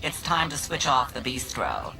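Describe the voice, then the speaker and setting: A woman's voice: extremely low pitch, huge pitch swings, moderate pace, gravelly, over music, full character voice, rough recording. A woman playing a chirpy, polite restaurant server in a radio comedy drama.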